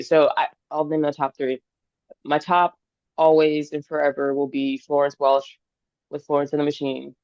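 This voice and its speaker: Gravelly-voiced young woman, earnest singer. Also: low-pitched, a little mellow, dry recording. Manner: earnest, enthusiastic, thinks aloud. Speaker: singer-songwriter guest. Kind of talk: casual podcast interview. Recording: dull lo-fi dry sound.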